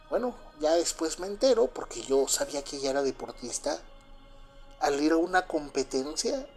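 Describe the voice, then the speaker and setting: Halting man with a Mexican accent. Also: medium pitch, a little mellow. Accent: Mexican Spanish. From Cuba, raised in Mexico. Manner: halting, full of fillers and restarts, confiding. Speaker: storyteller. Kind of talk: first-person spoken recollection.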